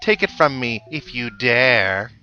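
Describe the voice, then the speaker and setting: Man voicing a slow, taunting mole monster boss. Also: medium pitch, lively intonation, pushed and bright, dull audio, full character voice. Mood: menacing, boastful. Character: boastful, challenging mole boss monster from a video game.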